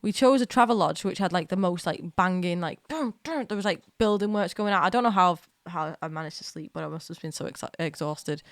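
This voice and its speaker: A woman's voice: fairly low pitch, fairly quick, few pauses, no room sound. Chatty woman with a cold. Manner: chatty, rambling, excitable, with many restarts. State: has a cold, slight cough. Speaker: streamer. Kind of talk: casual live-stream chat. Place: at home.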